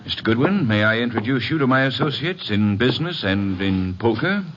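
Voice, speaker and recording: An actor playing a courteous but coldly menacing crime boss in an old radio detective drama, speaking formally and with measured threat. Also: male voice, mid-range voice, lively intonation, close to an everyday voice. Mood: polite menace. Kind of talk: 1940s-50s radio detective acting. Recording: muffled, noisy old radio recording.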